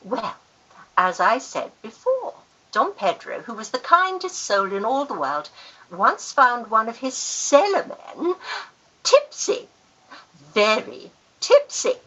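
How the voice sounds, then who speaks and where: A woman's voice: fairly high pitch, big pitch swings, takes it slowly, fairly bright tone, exaggerated voice, low-quality recording. A woman acting a grand, gushing, easily affronted society aunt in a Victorian radio farce, theatrical and emphatic. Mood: gushing storyteller.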